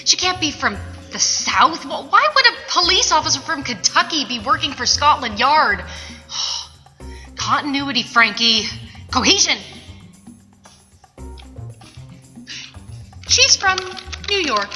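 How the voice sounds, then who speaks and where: Woman as high, animated meddling narrator. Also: measured pace, full character voice. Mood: exasperated. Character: exasperated meddling female storyteller. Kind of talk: comic scripted radio play narration. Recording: echoey event hall, music behind.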